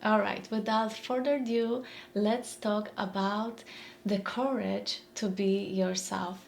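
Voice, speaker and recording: Slow-paced Polish-accented woman. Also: medium pitch, fairly even intonation, vocal fry, slight background noise. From Poland. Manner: thoughtful, repeats phrases, searches for words. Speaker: mindfulness speaker. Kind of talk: reflective motivational talk.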